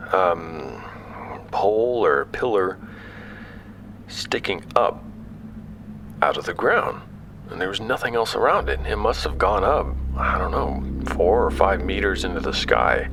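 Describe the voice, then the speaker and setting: An actor playing a curious explorer in an audio drama, speaking conversationally and haltingly as he records his expedition. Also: low-pitched, creaky, fairly bright tone, slight background noise, full character voice. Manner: halting, conversational, stumbles over words. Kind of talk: audio drama acting, hesitant recorded-log narration. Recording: music bed under the voice.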